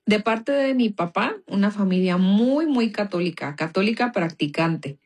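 A woman's voice: mid-range voice, expressive, a little slow, a little mellow. A young Mexican woman with a Mexican accent, telling her personal faith story in a casual, chatty way full of small fillers. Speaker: guest giving a testimony.

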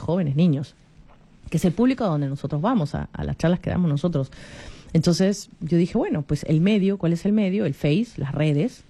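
Peruvian lady in her 40s hosting a radio show, warm mellow voice, chatty, lots of little tag questions. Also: on the low side, husky. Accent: Peruvian Spanish. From Peru. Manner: chatty, frequent tag questions, many restarts. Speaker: radio program host. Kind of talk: casual radio talk.

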